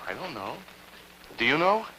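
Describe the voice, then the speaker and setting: A bright-voiced, flustered clerk. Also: male voice, fairly high pitch, very animated, normal speed, slight background noise, low-quality recording. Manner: exasperated, hesitant. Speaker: office worker.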